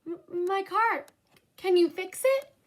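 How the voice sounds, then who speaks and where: A woman voicing a spirited, polite businesswoman in a children's storybook, earnest and expressive. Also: very high voice, slow, pitched above the performer's own voice, full character voice. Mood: dismayed.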